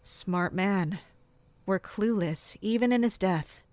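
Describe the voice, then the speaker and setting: Woman acting as mercenary captain, mid-pitched, composed, wry, measured audio drama narration. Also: a little mellow, no echo, close to an everyday voice. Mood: grim, focused. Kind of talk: first-person narration and dialogue in an audio drama.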